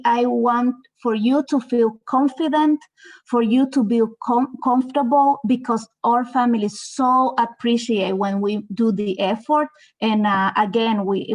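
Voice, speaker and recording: A woman with a Salvadoran Spanish accent talks slowly and earnestly to a group of teachers. Her voice sits a little high, and she urges them on with many fillers and restarts. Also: a little mellow, low-quality recording. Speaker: multilingual services staff. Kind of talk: online panel talk.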